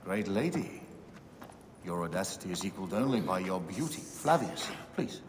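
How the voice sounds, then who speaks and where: An actor playing a commanding middle-aged Roman general in a video game, formal, measured and authoritative. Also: male voice, low voice, animated, noisy background. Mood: smooth, intrigued. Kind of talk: video game cutscene acting. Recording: hall reverb, music behind.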